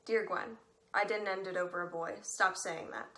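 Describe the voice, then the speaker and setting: A woman's voice: lowish voice, fairly even intonation, normal speed, sharp tone, slight background noise. A young woman playing a frank, wry teenage girl in an amateur drama, with a direct, dry delivery. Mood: firm. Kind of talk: amateur stage drama monologue.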